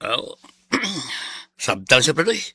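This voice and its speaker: Sharp-voiced, animated young Cebuano buddy. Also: male voice, mid-range voice, drawn-out words, slightly rough, full character voice. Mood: earnest, insistent. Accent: Cebuano Filipino. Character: young man, the earnest close buddy who turns rival. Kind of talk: radio drama acting.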